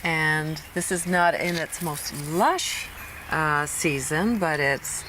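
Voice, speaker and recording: A woman interviewer with a slightly low voice asks friendly questions and keeps encouraging her guests with short affirmations. She speaks outdoors in a garden, and clear background noise runs behind her. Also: takes it slowly.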